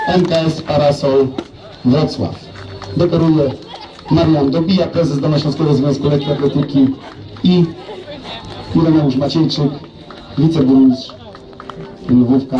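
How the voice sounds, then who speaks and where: A man reading out race results and club names as announcer at an outdoor awards ceremony, brisk and matter-of-fact. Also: on the high side, fairly even intonation, muffled recording, music in the background. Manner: brisk, lists names and clubs. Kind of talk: reading results over loudspeaker. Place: outdoor sports field. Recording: echoey loudspeaker from far off, crowd.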